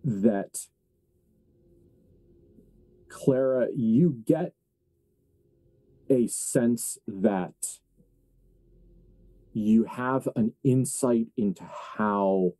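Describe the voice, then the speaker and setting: Dark, mellow-voiced man running a horror game. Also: mid-range voice, very slow, pauses often, dry recording, poor audio. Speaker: game master. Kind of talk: improvised narration of a horror tabletop roleplaying game.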